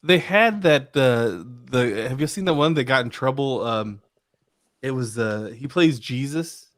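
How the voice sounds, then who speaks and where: Middle-aged male podcast co-host, casual and joking, halting, meandering delivery at a medium pitch. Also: lively intonation.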